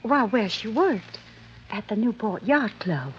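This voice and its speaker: A woman's voice: mid-range voice, big pitch swings, a little slow. An American actress playing a polite, chatty, wistful middle-aged wife and mother in 1950s radio drama style. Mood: eager, proud. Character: genteel, fluttery, wistful older wife and grieving mother. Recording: muffled, noisy old radio recording.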